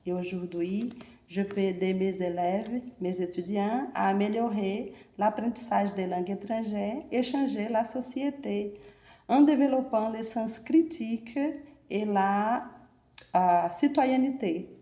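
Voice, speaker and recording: Brazilian lady presenting in french, slow and careful, lots of euhs, echoey room. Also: mid-range voice, warm tone. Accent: Brazilian Portuguese. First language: Portuguese. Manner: measured, with frequent hesitations. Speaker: event presenter, teacher. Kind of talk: formal opening speech and poetry reading.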